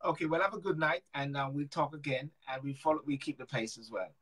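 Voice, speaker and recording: Husky-voiced male talk show host. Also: a little high, quick pace, fairly bright tone, rough recording. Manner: hesitant, stumbling restarts, agreeable. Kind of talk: casual talk-show chat.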